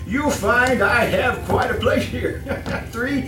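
A man's voice: very high voice, slow pace, recorded from a distance. A man acting in a live old-time radio horror play as a falsely genial, fussy employer who chuckles, stammers and repeats himself with hidden menace. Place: live stage performance. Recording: roomy stage from afar, music behind.

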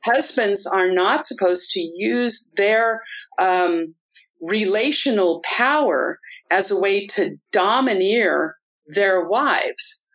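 A woman's voice: medium pitch, lively intonation, takes it slowly, a bit bright, rough recording. A woman talks through a Bible passage on a discussion panel, taking her time. Her tone is earnest and instructive, and she often restarts her sentences as she thinks. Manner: earnest, teaching tone with frequent restarts. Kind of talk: casual discussion explaining scripture.

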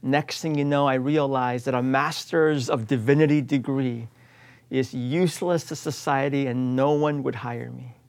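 A man's voice: mid-range voice, fairly even intonation, normal speed, vocal fry, darkish tone, clear, resonant tone. A man in his late forties from the United States, preaching a sermon as a pastor in an earnest, emphatic way, returning again and again to repeated refrains. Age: 40s.

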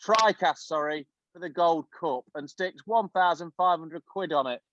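A man's voice: a little high, moderate pace, a bit bright, highs cut off, a little hiss or hum. A man co-hosting a podcast, telling a long rambling story with lots of ums and uhs. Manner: rambling anecdote-telling with many fillers. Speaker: podcast co-host. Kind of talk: casual chat.

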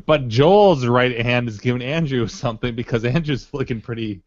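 A man's voice: medium pitch, normal speed, a loud crowd. A man co-hosting a podcast in casual banter, cracking crude jokes and stammering over repeated words. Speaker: podcast co-host. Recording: dull lo-fi audio.

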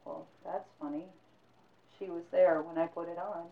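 A woman playing a haunted young man, quiet and dazed, fumbling through his worried thoughts. The voice stays low and soft. It sounds thin and phone-like in an echoey space. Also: fairly even intonation, moderate pace, vocal fry. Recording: tinny phone-like sound, roomy echo.

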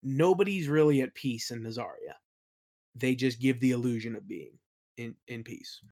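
A man's voice: medium pitch, animated, normal speed, a little mellow. A man answering as an interview guest in a casual conversational style, often hesitant as he thinks aloud. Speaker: interview guest, audio play creator.

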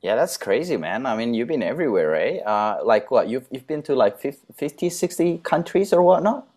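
A man's voice: mid-range voice, big pitch swings, moderate pace, darkish tone. A man hosting a podcast interview, asking casual questions with plenty of "um" fillers. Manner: informal, filler-heavy questions. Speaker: podcast host.

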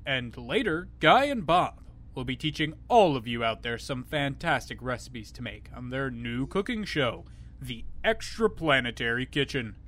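A man's voice: medium pitch, expressive, moderate pace, fairly bright tone, natural voice. A man playing a flippant sci-fi talk show host, breezy and dismissive, on a comedy radio show.

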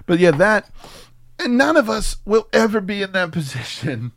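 A man's voice: high voice, big pitch swings, moderate pace, gravelly, no room sound, clean studio sound. A man chatting casually as a podcast co-host, rambling through stories with plenty of swearing. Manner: crude, swearing, rambling storytelling. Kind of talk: casual chat about a film.

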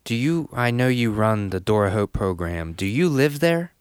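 Male host of a faith talk show, friendly questioner, smooth lowish voice. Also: expressive, normal speed, no echo. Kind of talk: casual faith interview.

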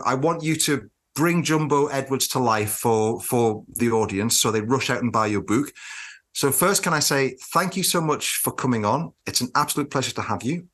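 A man co-hosting a podcast, introducing his guest in a lively, playful, enthusiastic way. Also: medium pitch. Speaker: podcast co-host.

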